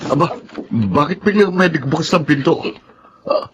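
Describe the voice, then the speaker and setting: A man's voice: on the high side, moderate pace, fairly bright tone, slight background noise, close to an everyday voice. A man playing a kindly, easily flustered young Filipino man in a radio melodrama. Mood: startled, stammering.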